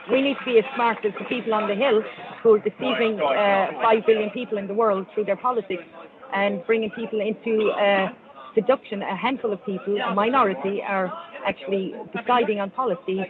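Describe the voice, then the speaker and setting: A man from Ireland with an Irish accent, speaking haltingly as a guest. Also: sits high. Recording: phone-like narrow band, crowd behind.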